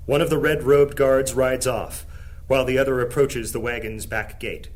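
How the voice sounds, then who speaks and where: A man narrating a high fantasy audio drama as a solemn, scripted storyteller. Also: steady tone, moderate pace, a little mellow, lightly acted.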